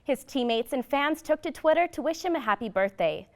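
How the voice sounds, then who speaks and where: A young woman with a somewhat high voice reads the news as a reporter and anchor. Her delivery is scripted and presentational, in the manner of a TV bulletin. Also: expressive, fairly quick.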